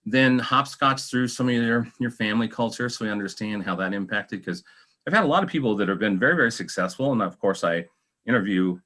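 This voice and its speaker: A man's voice: fairly low pitch, fairly bright tone. An American man hosting an interview in a casual, joking, rambling way over a video call. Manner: rambling, jokey. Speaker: talk show host, interviewer.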